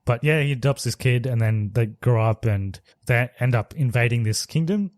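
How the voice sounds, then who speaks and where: A man's voice: medium pitch, normal speed, a little mellow, dry recording, clean studio sound. Man chatting casually about movies. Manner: rambling, hedging, laughs often. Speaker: podcast co-host. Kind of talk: casual movie review chat.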